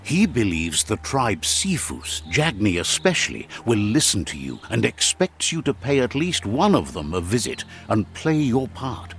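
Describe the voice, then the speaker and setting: Expressive low-voiced male game narrator. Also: soft background music. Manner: measured, dramatic storyteller delivery. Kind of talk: scripted storybook narration.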